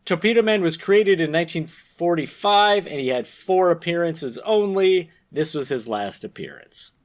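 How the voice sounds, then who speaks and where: A man hosting a podcast with casual banter, speaking in a halting, rambling way. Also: on the high side, lively intonation. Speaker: podcast host. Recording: background noise.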